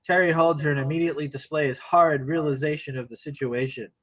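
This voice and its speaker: A man's voice: fairly high pitch, steady tone, fast, fairly bright tone. A man reading stage directions aloud as narrator of a screenplay table read, in a dramatic present tense.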